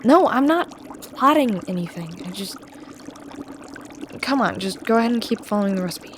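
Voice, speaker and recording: A woman's voice: mid-range voice, expressive, normal speed, slight background noise, lightly acted. A woman voicing a mischievous male trickster witch who teaches potion-making in an audio roleplay. The witch snickers, teases and stumbles over hesitant fillers. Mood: playful, sly. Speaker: potion tutor.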